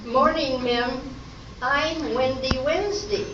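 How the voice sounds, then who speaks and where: High, slow-talking chatty witness played by a woman. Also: background noise. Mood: chatty, dramatic. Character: chatty, dramatic woman witness, rambling gardener. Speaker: amateur radio play actor. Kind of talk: theatrical comic radio play acting. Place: live stage performance in a hall. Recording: echoey, distant, dull live stage recording.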